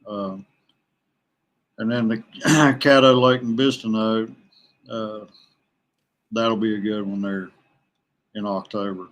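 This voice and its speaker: Halting man chatting casually. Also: lowish voice, fairly even intonation, a bit bright, highs cut off. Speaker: guest, veteran kayak angler. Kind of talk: casual podcast chat.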